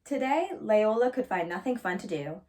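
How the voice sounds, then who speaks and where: A woman reading a children's storybook aloud as a storyteller, in an expressive narrating style. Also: mid-range voice, moderate pace.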